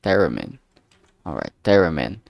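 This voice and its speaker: A man's voice: extremely low pitch, sing-song, moderate pace, vocal fry, highs cut off. A man chatting casually on a livestream, thinking aloud in a hesitant, musing way and often trailing off mid-thought. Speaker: streamer making a visual novel.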